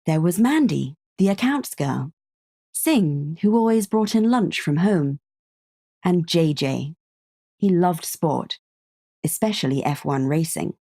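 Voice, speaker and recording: A woman reads a scripted story with a measured, understated delivery. Her voice is low and soft-toned, and she takes her time. It is recorded close and dry. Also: lively intonation, no room sound. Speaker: narrator.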